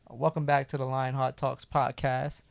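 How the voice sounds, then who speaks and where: Raspy gravelly guy hosting a chill podcast, hoarse, dry close sound. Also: medium pitch, level tone, moderate pace, no room sound. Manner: laid-back, slangy, chuckling. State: hoarse from losing his voice. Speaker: podcast host. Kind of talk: casual chat.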